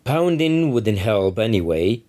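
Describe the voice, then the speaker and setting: Male author-narrator: low, soft-edged and dark in tone, measured delivery of literary prose. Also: big pitch swings. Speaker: author reading own novel.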